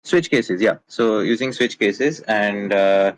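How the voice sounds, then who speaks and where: A man's voice: fairly low pitch, slow, low-quality recording. A man answering technical questions in a casual online meeting, hesitant with many fillers and restarts. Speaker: mentee presenting a project.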